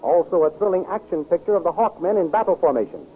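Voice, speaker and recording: A man announcing in 1930s radio style, brisk and enthusiastic. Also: high-pitched, expressive, faint music behind. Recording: tinny 1930s radio transcription with hiss.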